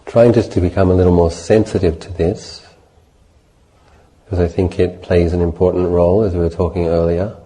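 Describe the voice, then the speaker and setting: A man leading a guided meditation as a Buddhist teacher, speaking in a measured, gentle way with deliberate pauses between short phrases. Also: sits low, fairly even intonation, low-quality recording.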